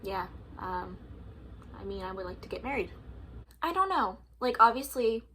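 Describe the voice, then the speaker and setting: A woman's voice: mid-range voice, animated, moderate pace, sharp tone, low-quality recording. Young woman, early twenties, chatty vlog talk, filler-heavy, faint room hum. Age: 20s. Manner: chatty, lots of likes and ums, laughs often. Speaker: vlogger. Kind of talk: casual talk to camera.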